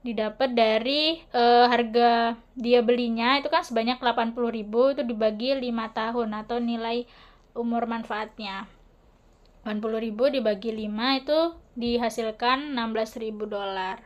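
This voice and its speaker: Halting young woman, higher voice. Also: steady tone, dull audio. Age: young adult. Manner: halting, hesitant delivery. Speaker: university student presenting. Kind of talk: explanatory class presentation.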